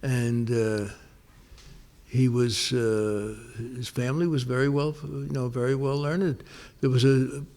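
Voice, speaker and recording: A man's voice: medium pitch, fairly even intonation, warm tone. An older man reminiscing. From New York, United States. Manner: rambling, many restarts and pauses. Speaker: interviewee. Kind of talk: casual oral-history interview.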